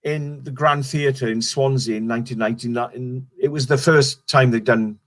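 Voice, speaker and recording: A man's voice: medium pitch, steady tone, moderate pace. A middle-aged Welsh comedian chatting casually in an interview, rambling and restarting his sentences. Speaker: stand-up comedian guest.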